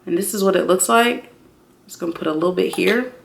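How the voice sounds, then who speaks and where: A woman in her thirties chatting casually and excitedly to viewers as a beauty vlogger, expressive and slangy, repeating herself for emphasis. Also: fairly low pitch, fairly quick, vocal fry. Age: 30s. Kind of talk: casual excited unboxing chat.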